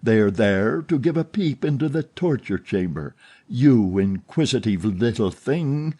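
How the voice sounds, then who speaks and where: A man reading an audiobook, voicing a jealous, menacing man who speaks forcefully and with menace. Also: mid-range voice, very animated, slow, breathy edge, exaggerated voice.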